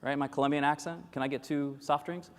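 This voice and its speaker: A man in his forties giving a lively conference keynote, joking and quizzing the audience as he talks. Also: fairly high pitch, brisk. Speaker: keynote speaker. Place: large conference hall with audience at tables. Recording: long reverb of a large hall.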